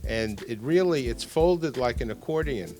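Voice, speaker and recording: A man's voice: mid-range voice, expressive, moderate pace, a little mellow, faint music behind. An older man being interviewed as a product designer, explaining technical details and repeating words for emphasis.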